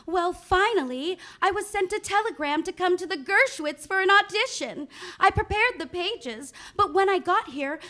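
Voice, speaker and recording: Actress doing a breathlessly eager young ingénue, extremely high voice, earnest, theatrical, old-time radio play. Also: female voice, fairly bright tone. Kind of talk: 1940s radio play acting. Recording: live stage recording.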